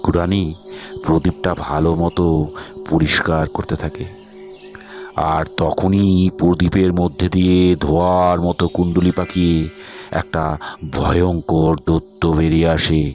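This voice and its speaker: A man narrating a scripted fairy tale as storyteller, in measured, short dramatic phrases. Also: low-pitched, flat delivery, a little mellow, soft background music.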